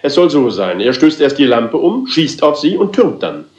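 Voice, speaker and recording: Pompous stage director in a radio mystery, swoops up and down, theatrical, bit of room echo. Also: male voice, on the high side, very animated, normal speed, a little mellow, lo-fi sound. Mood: smug, businesslike. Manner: theatrical, dramatic, self-important. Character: flamboyant, impatient theatre director rehearsing his own play. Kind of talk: German crime radio play dialogue. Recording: slightly roomy radio drama sound.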